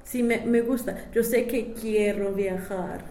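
A young woman with as her gives informal interview answers in a slow, hesitant way, feeling for the right words. Her pitch moves little and the room adds noticeable echo over faint noise. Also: fairly even intonation, gravelly, warm tone. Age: young adult. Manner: hesitant non-native speech, searching for words. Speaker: interviewee, language student.

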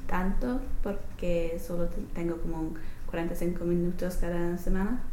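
A woman's voice: on the low side, steady tone, moderate pace. A young woman answering interview questions as a language study participant, hesitant, with many fillers, restarts and frequent laughter. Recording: roomy echo, faint background noise.

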